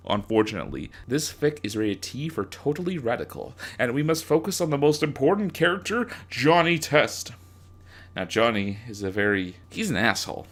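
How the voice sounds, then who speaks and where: A young man narrates a comic reading in a middle-pitched voice. His delivery is sarcastic and crude. He stresses words theatrically, as if presenting something grand. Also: lively intonation, moderate pace, a bit bright. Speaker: narrator reading own fanfiction. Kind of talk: comedic dramatic reading.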